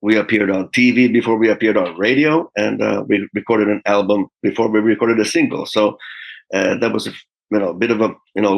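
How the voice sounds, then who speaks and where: A man in his fifties, a guest musician, telling stories in a relaxed interview with frequent fillers and small laughs. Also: on the low side, rough recording. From Finland. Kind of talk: casual interview talk.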